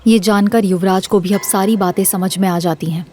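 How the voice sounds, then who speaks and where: A woman's voice: mid-range voice, steady tone, normal speed, mellow. A woman narrating a scripted audio drama as the storyteller.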